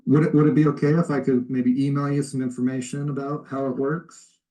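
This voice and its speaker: A man on an informal phone call, explaining things politely and tentatively with a soft sell. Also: mid-range voice, little pitch movement, fairly quick. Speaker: cold caller offering help claiming surplus funds. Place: recorded phone call played back in a lesson.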